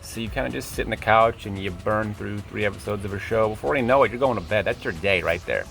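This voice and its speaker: A man's voice: on the low side, fast, vocal fry, fairly bright tone, soft background music. Rambling, casual man in his late twenties. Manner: rambling, self-deprecating, tangential. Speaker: YouTube creator and host. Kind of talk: casual rambling vlog monologue.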